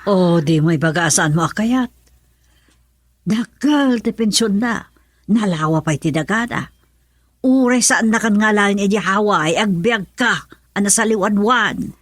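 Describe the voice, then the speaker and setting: A woman's voice: medium pitch, unhurried, dry recording, clean studio sound, natural voice. A woman playing a pushy, scheming mother in a radio drama, speaking insistently with an Ilocano accent. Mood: nagging, insistent. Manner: nagging, insistent. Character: pushy, scheming mother urging her daughter to marry money.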